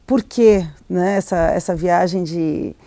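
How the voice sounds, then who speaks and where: A woman's voice: on the low side, slow pace, warm tone, no room sound. A middle-aged woman, an author raised in Argentina, answering interview questions in a hesitant, reflective way with many restarts and fillers. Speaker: novelist, interview guest.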